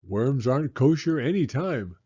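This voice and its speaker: A man voicing a practical, gently firm mother in a storybook reading. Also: medium pitch, expressive, a little slow, breathy edge, muffled recording, pitched above the performer's own voice. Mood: matter-of-fact.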